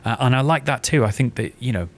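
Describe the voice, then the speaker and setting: A man chatting casually and enthusiastically about creative work, effusive and often halting to restate himself with emphasis. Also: mid-range voice. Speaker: writer and podcast guest.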